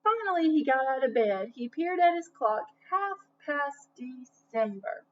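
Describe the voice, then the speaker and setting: A slightly dull recording of a woman reading aloud to children. Her voice is high and she takes her time, narrating expressively as a storyteller. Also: a little slow. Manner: expressive, asks listeners questions. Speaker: storybook reader. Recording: dull lo-fi sound, faint noise.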